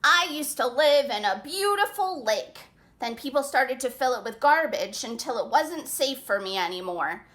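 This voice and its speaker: A woman's voice: high-pitched, lively intonation, normal speed, a bit bright. Woman putting on an earnest honking goose. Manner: honking, plain-spoken. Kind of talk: picture book read-aloud.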